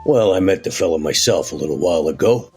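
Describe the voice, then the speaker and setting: A man voicing a gruff, laid-back male vampire in a tabletop game, gravelly and husky, with slangy, folksy, hesitant phrasing. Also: deep voice, expressive, fast, darkish tone, faint music behind, exaggerated voice. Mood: wary, casual.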